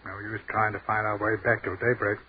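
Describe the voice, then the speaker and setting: An actor playing an educated army captain who speaks in a crisp, articulate and lyrical way, in a 1940s radio drama. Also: male voice, on the low side, little pitch movement, brisk. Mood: measured. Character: educated male army captain, dreamy and commanding. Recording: tinny, hissy 1940s radio broadcast.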